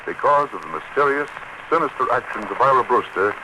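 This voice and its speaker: A man's voice: medium pitch, expressive, brisk. A man announcing an old-time radio serial in a dramatic scripted style, on a noisy old broadcast recording.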